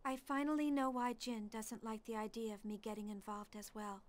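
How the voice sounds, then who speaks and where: Young female scientist played by a woman, fairly high voice, earnest and precise, video game cutscene style. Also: level tone, a little fast, close to an everyday voice. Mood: resolute, somber. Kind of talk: English-dubbed video game acting.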